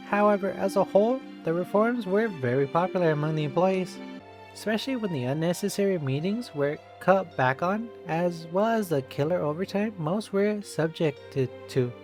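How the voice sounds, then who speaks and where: A streamer gives an expressive read-aloud of a visual novel. The voice sits at a medium pitch, with game music clearly playing behind it.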